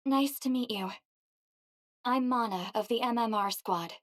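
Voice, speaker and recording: A woman plays a courteous female officer in a video game. Her voice is slightly high, and she talks in a polite, businesslike, informative way. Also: unhurried, close to an everyday voice. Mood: polite, helpful. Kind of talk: English game voice acting.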